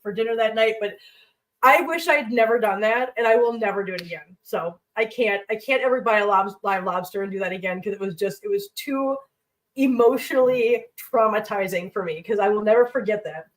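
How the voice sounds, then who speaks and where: A woman's voice: mid-range voice, fairly quick, recorded from a distance, low-quality recording. A woman hosting a livestream from her home kitchen, chatting casually while cooking. She sounds congested and rambles, talking to her pets and viewers.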